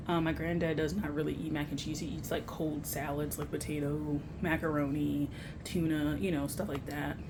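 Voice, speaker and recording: A woman's voice: deep voice, noisy background. A young American woman chatting casually and candidly to camera as a vlogger, with informal, slangy phrasing. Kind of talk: casual grocery haul chat to camera.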